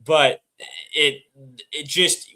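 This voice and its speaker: A man hosting a casual podcast, his voice raspy and hoarse, talking in quick, excitable bursts. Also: fairly high pitch. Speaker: podcast host.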